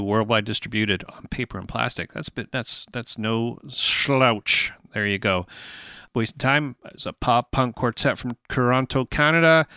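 A man hosts a podcast, chatting loosely and rambling a bit between questions. His voice is bright and crisp, recorded dry and close with no room sound. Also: medium pitch, dry recording. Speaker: podcast host. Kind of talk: casual podcast hosting.

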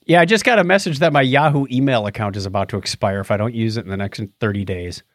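A man's voice: on the low side, fast, dry recording, clean studio sound. A man hosting a podcast in casual chat, with a techy, wry and jokey way of talking. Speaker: podcast host.

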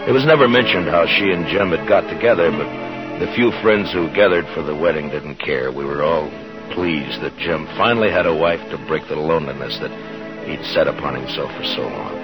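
A man's voice: extremely low pitch, a little fast, rough recording. An American man playing a stern but kindly frontier marshal in a 1950s radio Western, speaking with plain authority. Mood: reflective narration. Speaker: lead actor and narrator. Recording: 1950s radio drama, music behind.

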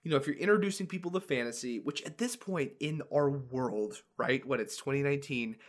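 A man's voice: mid-range voice, a little fast. A man co-hosting a casual tabletop-gaming podcast, talking eagerly with frequent fillers and restarts. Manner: enthusiastic, many fillers and restarts. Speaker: podcast co-host.

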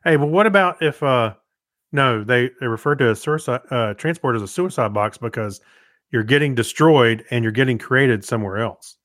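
A man's voice: mid-range voice, normal speed, a bit bright, no echo, studio quality. A man co-hosting a podcast, talking in a casual, conversational way. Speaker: podcast co-host.

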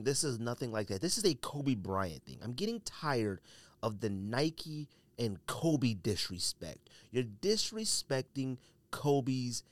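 A man's voice: mid-range voice, no room sound. An American man hosting a solo podcast, giving opinionated commentary in slangy, colloquial speech and repeating phrases for emphasis. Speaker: podcast host.

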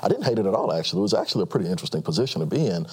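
A man in his thirties from Nigeria, telling his story as a guest in a casual interview, earnest and quick to laugh. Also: low voice, lively intonation, darkish tone, husky. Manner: laughs often, earnest, many restarts.

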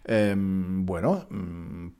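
A man from Spain with a Spanish accent, teaching learners of Spanish in a relaxed spoken lesson, repeating key points and using fillers. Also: sits low, drawn-out words, smooth.

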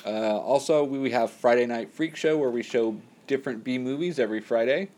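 A man announcing a website promo in a plain, slightly halting, unpolished way. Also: mid-range voice, a little mellow. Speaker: podcast announcer.

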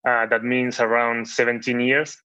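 Polite man from Argentina, bright, tense voice. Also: medium pitch, level tone. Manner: polite and measured, with fillers. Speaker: panel guest, bank business unit head. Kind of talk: guest introduction. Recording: dull lo-fi audio.

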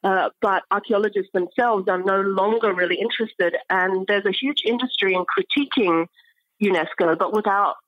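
A middle-aged woman speaking as an academic interview guest, explaining things in an articulate, measured way. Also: medium pitch, no room sound, dull audio. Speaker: interview guest, archaeology professor. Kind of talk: thoughtful academic interview talk.

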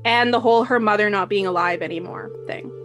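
Wry woman narrating, mid-pitched. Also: fairly quick, a bit bright, soft background music. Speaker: podcast host and narrator. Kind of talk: narration with wry comic asides.

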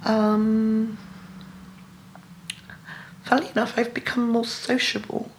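A middle-aged woman from England speaks in an English accent, answering questions in a relaxed interview. She talks in a measured, reflective way, stopping often to gather her thoughts with an um. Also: on the high side, a little hiss or hum, poor audio. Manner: thoughtful, measured, frequent ums. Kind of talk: casual interview chat.

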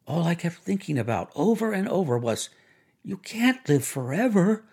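A man voicing a brassy, gushing, excitable woman in an audiobook, with theatrical, affected chatter. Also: fairly high pitch, moderate pace, gravelly, breathy edge, voice pushed up, exaggerated voice. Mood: giddy, thrilled. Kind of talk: audiobook character voice.

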